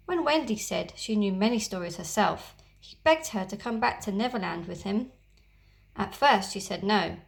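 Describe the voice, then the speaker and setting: Female teacher, story-time narrator, expressive, slightly gravelly. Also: medium pitch. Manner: expressive, gently animated storytelling. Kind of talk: reading a children's story aloud.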